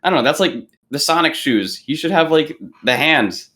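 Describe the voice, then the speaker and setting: A person chatting casually on a podcast, asking questions in halting, restarted phrases. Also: mid-range voice, expressive, bright, forceful tone.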